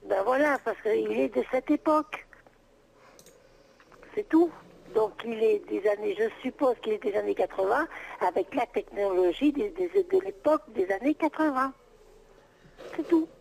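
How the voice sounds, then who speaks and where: A woman's voice: a little high, animated, unhurried, vocal fry, long pauses, darkish tone. A middle-aged woman on an unscripted phone call, answering as a private seller, exasperated and insistent, repeating herself. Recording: noisy telephone call.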